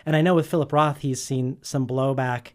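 A man's voice: mid-range voice, fairly even intonation, moderate pace, darkish tone. Earnest male interviewer with restarts. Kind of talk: prepared interview questions.

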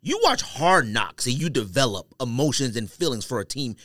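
A man's voice: medium pitch, sing-song, moderate pace, fairly bright tone, no room sound, clean studio sound. A man co-hosting a casual sports podcast, arguing emphatically and often repeating himself to make a point. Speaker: podcast co-host.

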